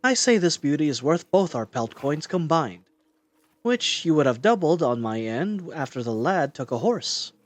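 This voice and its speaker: A man's voice: on the high side, big pitch swings, normal speed, no room sound. A man acting a shrewd, bold young man in a fantasy audio roleplay. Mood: confident, challenging.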